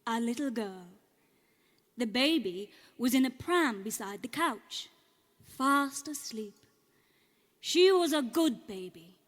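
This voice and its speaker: An actress with an Irish accent playing a nervous, game audience member, haltingly reading a script aloud on stage. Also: female voice, on the high side, expressive. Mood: uneasy storytelling. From Ireland. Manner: hesitant, halting. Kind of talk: live storytelling performance, reading from a script. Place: on stage at a live cellar event. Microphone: stage microphone.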